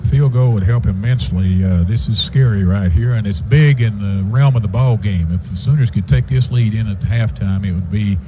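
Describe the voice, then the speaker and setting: A man giving halting, conversational football analysis as color commentator on a radio broadcast from a stadium booth. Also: fairly low pitch, highs cut off, background noise. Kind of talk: unscripted sports analysis.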